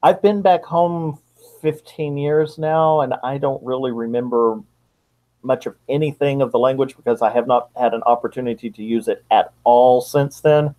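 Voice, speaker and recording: A middle-aged man answering interview questions as a guest author, in a measured, reflective manner. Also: medium pitch, steady tone, dark tone, low-quality recording. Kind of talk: interview answers about writing.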